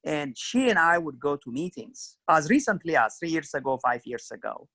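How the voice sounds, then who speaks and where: Bright-voiced man with an Ethiopian accent. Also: on the high side, very animated, moderate pace, dry recording. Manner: earnest, storytelling, frequent "you know" and "kinda". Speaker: career mentor guest. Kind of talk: reflective career interview.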